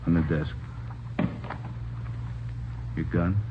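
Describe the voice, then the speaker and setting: Through a dull old radio recording with faint noise comes a stern police boss. His voice is deep and quick, blunt and unbending, in the clipped authority of a radio crime drama. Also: flat delivery. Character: stern, unbending police superior disciplining an officer.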